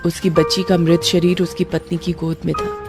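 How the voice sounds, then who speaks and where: A woman's voice: on the low side, level tone, normal speed. A woman reading a scripted story as a narrator, in a storytelling style. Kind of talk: scripted narration of a Hindi moral horror story. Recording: music under the voice.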